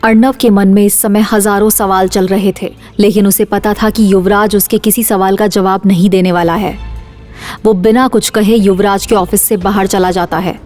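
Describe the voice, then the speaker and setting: A woman's voice: medium pitch, fairly even intonation, moderate pace, darkish tone, soft background music. A woman reading scripted suspense narration for an audio drama series.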